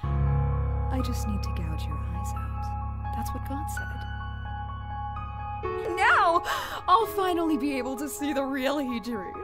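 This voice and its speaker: A woman's voice: high voice, very animated, takes it slowly, pauses often, exaggerated voice. A woman playing a deranged religious mother in a dramatic manga dub, sweetly menacing and fanatically devout. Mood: manic joy. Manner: sing-song sweetness turning frantic. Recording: music behind the voice.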